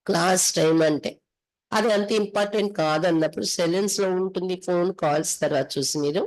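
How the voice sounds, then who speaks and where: A woman's voice: fairly low pitch, quick pace, darkish tone, rough recording. A woman from India with a Telugu accent, reading scripture aloud and explaining it instructively as a spiritual teacher.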